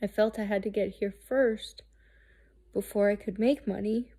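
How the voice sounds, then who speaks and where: A woman in her thirties, talking candidly and haltingly about herself, restarting often and swearing freely. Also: medium pitch, steady tone, warm tone. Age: 30s. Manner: halting, searching, with many restarts and fillers. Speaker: host. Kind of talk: candid personal monologue.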